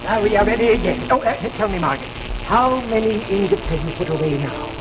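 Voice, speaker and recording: An older man acting a driven, demanding inventor in a 1950s television drama, with a deliberate, theatrical delivery. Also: sits high, lively intonation. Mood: driven, insistent. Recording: noisy, muffled 1950s TV soundtrack.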